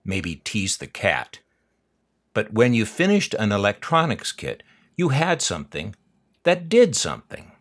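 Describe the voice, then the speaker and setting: A man's voice: fairly low pitch, huge pitch swings, measured pace. An older American man narrating an unboxing video with wry, dry humor and nostalgic asides. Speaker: host of a collector's unboxing channel.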